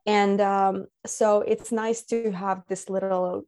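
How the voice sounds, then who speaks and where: A woman's voice: mid-range voice, level tone, takes it slowly, a little mellow. A woman from Finland, speaking as a podcast guest in a casual, reflective, earnest way. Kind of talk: casual conversation.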